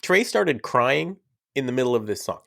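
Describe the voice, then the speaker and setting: A man's voice: medium pitch, sing-song, moderate pace, vocal fry, a bit bright, no room sound, studio quality. A young man, sick, talking casually and enthusiastically as a podcast co-host, rambling and teasing his friend. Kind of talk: casual fan chat.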